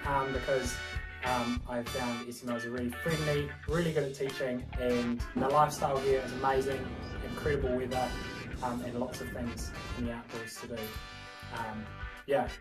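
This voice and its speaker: A woman's voice: rumbling low, little pitch movement, normal speed. A woman, a first-year junior doctor, earnestly encouraging applicants. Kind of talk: promo self-introduction. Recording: echoey, distant mic with music.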